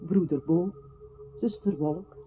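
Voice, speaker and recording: A man's voice: on the high side, slow pace. A male actor in a radio play playing an earnest, lyrical narrator who recites in an articulate storytelling manner. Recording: thin phone-like radio sound, faint music.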